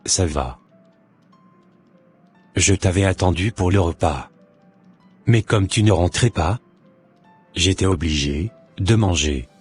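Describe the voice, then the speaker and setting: A man playing a suspicious, impatient husband in an animated drama, speaking in a deliberate, formal way with a husky voice. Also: low-pitched, soft background music, heavily acted. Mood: relieved. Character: suspicious, impatient husband waiting for his unfaithful wife.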